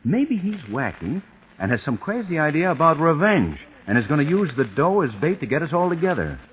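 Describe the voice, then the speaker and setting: A man's voice: fairly high pitch, big pitch swings, a little fast. An actor playing a skeptical married man who talks in a dry, doubtful way, in 1940s radio drama style. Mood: wary. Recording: muffled old radio broadcast with hiss.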